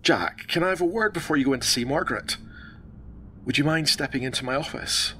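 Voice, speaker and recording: A man playing a calm, measured hospital doctor in a radio drama, professional, reassuring and deliberate. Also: a little high, slight background noise. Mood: composed, serious.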